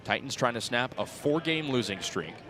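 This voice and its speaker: Male radio play-by-play announcer, twenties, average pitch, clipped calls rising with the action, clear ballpark crowd in background. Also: expressive, moderate pace. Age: 20s. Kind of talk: live radio sports commentary. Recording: outdoor ballpark crowd behind voice.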